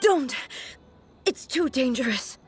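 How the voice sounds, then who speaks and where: Proud, slow-spoken warrior shogun. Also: female voice, sits high, lively intonation, faint music behind. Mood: alarmed warning. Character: proud, weary female shogun warrior, stoic and formal. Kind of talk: video game voice acting.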